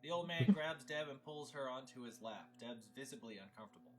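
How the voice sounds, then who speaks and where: A man's voice: mid-range voice, expressive, brisk, a bit bright. A man reading stage directions as narrator at a film table read.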